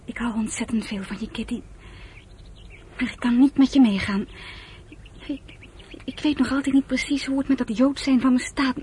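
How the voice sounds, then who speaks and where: An actress playing a sensitive young girl, devoted and hesitant, speaking haltingly in a radio drama. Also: high-pitched, steady tone, husky. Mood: hurt, hesitant. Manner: hesitant, soft-hearted, halting. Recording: noisy old radio play recording.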